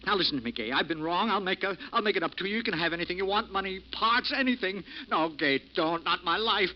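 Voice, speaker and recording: A man's voice: high voice, animated, quick pace, slight background noise. A terrified man begging for his life. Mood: pleading. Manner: pleading, stammering. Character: frightened older man in a wheelchair. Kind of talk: 1940s radio acting. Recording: dull old radio broadcast.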